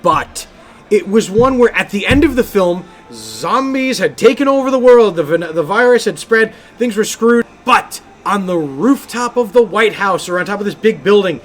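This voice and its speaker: A man co-hosting a podcast, telling things casually in an emphatic, animated way. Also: high voice, normal speed, faint music behind. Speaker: podcast co-host. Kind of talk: casual film and game discussion.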